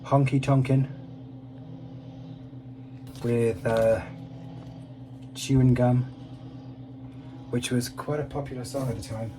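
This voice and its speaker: Male record collector, casual unboxing commentary, medium pitch, heard at a distance from the mic. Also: steady tone, takes it slowly, long pauses. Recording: distant mic with clear background noise.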